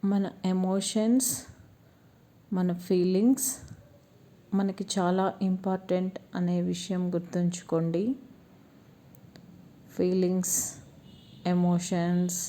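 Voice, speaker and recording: Very mellow Telugu woman guiding meditation. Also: lowish voice, little pitch movement. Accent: Telugu Indian. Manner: slow, soothing delivery with long pauses. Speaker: meditation guide. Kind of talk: guided meditation instruction.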